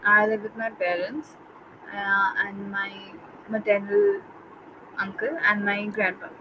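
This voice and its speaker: A woman's voice: mid-range voice, slow. A young woman from India with an Indian English accent, talking casually with many fillers. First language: Bengali. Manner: chatty, expressive, many fillers. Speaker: interviewee. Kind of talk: casual conversation. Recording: noisy, with vehicle sounds behind.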